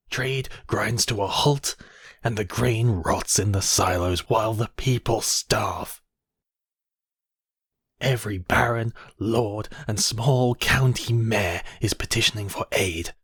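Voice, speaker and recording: A coldly possessive high mage villain, acted by a man for an ASMR fantasy roleplay. His voice is low and husky, with a slow, deliberate delivery. He speaks imperiously, like one used to being obeyed. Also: fairly bright tone, dry recording, studio quality, full character voice. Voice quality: breathy, husky, often dropping to whispers. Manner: imperious, deliberate, addressing listener as apprentice. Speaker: voice actor.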